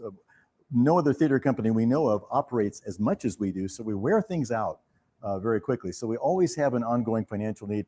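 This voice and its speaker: A man hosting a live benefit evening, speaking to an audience in a measured, halting and courteous way. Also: fairly low pitch, mellow. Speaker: host of a theater benefit evening. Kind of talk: live fundraising appeal to an audience.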